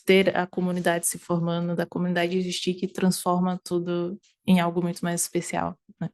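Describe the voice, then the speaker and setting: A woman's voice: on the low side, monotone, moderate pace, darkish tone. A woman with a slightly mixed Northeastern Brazilian accent from Sergipe, chatting informally as an interview guest, rambling a bit with frequent tag questions. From Aracaju, Sergipe, northeastern Brazil. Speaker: interview guest, variety game streamer. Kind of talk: casual conversational interview.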